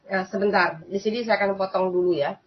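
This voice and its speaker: A woman's voice: mid-range voice, fairly even intonation, brisk. A woman host giving casual spoken commentary, chatty and repetitive, often turning to address her listeners. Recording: dull lo-fi sound.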